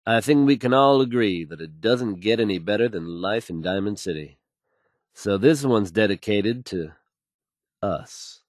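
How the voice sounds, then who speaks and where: An actor playing a smooth, self-assured male radio DJ and announcer in a video game. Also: on the low side, lively intonation, unhurried, no echo, clean studio sound. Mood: smooth, easygoing.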